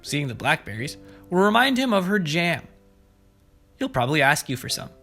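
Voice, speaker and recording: Expressive higher-pitched man reading to kids. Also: normal speed, husky. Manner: expressive, speaking simply to young children. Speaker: preschool story-time host. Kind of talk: children's storybook read-aloud and simple explanations. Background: background music.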